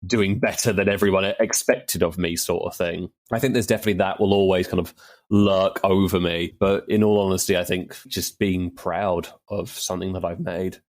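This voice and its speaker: A man's voice: low-pitched, steady tone, moderate pace, a little mellow, dry recording, clean studio sound. A man speaking as an interview guest, reflective and casual. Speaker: interview guest, audio drama creator.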